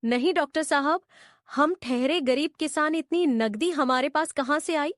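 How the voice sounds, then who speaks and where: A woman's voice: sits high, unhurried, no echo, studio quality. A woman playing a frightened, poor farmer's wife in a moral story, anxious, humble and deferential. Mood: fearful, anxious. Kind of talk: acted dialogue in a Hindi moral story.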